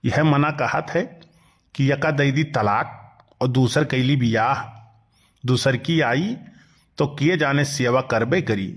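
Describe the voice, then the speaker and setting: A man's voice: medium pitch, slow pace, long pauses. A man with an Awadhi accent voicing a put-upon, grumbling village husband in a comic cartoon, drawling and exclaiming in rural dialect. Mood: aggrieved, complaining.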